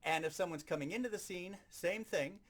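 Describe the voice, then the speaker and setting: A man's voice: sits high, fairly quick. A man giving an instructional demonstration as a sound effects teacher, explaining things step by step and repeating key points.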